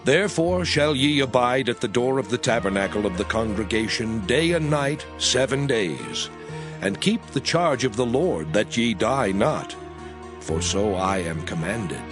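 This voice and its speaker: An actor playing Moses, a stern aged lawgiver giving solemn commands in a dramatized scripture reading. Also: male voice, mid-range voice, animated, unhurried, over music, close to an everyday voice.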